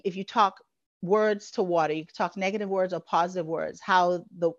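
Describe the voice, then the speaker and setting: A woman's voice: medium pitch, a bit bright, no echo, lo-fi sound. A woman from the Bahamas, a guest coach answering interview questions in an earnest, flowing way with many "you know" fillers.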